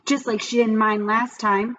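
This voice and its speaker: A woman's voice: on the high side, flat delivery, unhurried, a bit bright, lo-fi sound, pitched above the performer's own voice. A woman voicing a hesitant, anxious schoolboy in a children's story. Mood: reluctant. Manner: hesitant, protesting. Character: anxious schoolboy wrestling with temptation.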